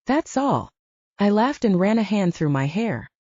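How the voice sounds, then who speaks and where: A woman narrating a first-person fantasy audiobook in an expressive, dramatic manner. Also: medium pitch, normal speed, dry recording. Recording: dull dry audiobook recording.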